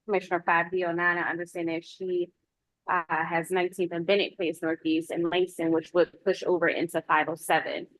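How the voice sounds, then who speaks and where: Woman giving an informal report, lowish voice. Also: fairly bright tone, dry recording, poor audio. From United States. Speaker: police lieutenant giving a public safety report. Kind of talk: informal spoken report at a public meeting.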